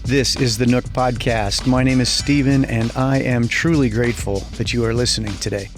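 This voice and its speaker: A man hosts an interview podcast in a mid-pitched voice, with faint music behind him. His delivery moves between prepared introduction and conversational questioning. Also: steady tone, normal speed. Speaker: podcast host.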